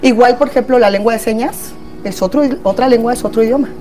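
A Mexican woman with a slightly high voice chats as a therapist guest. She talks freely and often checks in with little tag questions. Faint music sits underneath her voice. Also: quick pace, dark tone. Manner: chatty, frequent tag questions. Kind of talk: casual panel chat.

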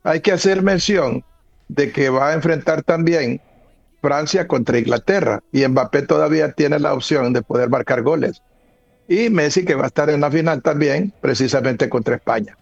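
Radio host, dull dry studio audio. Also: male voice, on the high side, normal speed, vocal fry, breathy edge, no echo. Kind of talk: sports radio commentary.

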